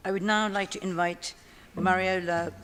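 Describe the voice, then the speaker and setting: A man chairs a council meeting in a formal, brisk, procedural way. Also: sits high, flat delivery, fairly bright tone. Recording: distant voice with clear background noise.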